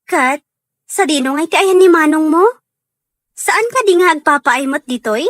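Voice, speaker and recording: A woman's voice: sits high, moderate pace, fairly bright tone, no echo. A woman acting as a friendly, plain-spoken young working woman in a scripted Ilocano radio drama. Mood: polite.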